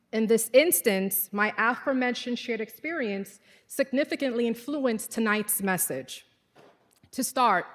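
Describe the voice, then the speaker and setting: A woman in her forties with a Trinidadian accent, speaking as commencement speaker in an earnest, measured and exhorting manner. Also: mid-range voice, big-room sound. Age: 40s. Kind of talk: formal commencement speech. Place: large hall.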